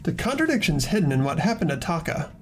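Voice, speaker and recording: A woman playing an earnest, determined teenage investigator in an amateur game dub, expressive in dialogue and inner narration. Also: low-pitched, fast talker, soft background music. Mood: thoughtful, determined. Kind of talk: scripted amateur visual novel voice acting and inner narration.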